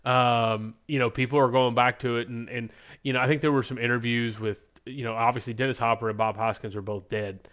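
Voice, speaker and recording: A man's voice: medium pitch, little pitch movement, brisk, fairly bright tone, clear, resonant tone. A man hosting a podcast in a loose, informal, conversational way, rambling as he goes. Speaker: podcast host. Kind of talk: casual podcast chat.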